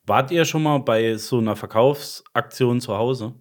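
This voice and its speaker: A man's voice: mid-range voice, unhurried. A man co-hosting a podcast in casual, joking banter with his friends. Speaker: podcast co-host.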